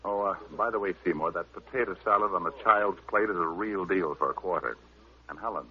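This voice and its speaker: A man's voice: low-pitched, fairly quick, lightly acted. A man acting a wisecracking, unflappable American private detective in 1940s radio style, with a wry, breezy delivery. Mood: hurried. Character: wisecracking, unflappable male private detective who narrates his case. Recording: tinny vintage radio recording.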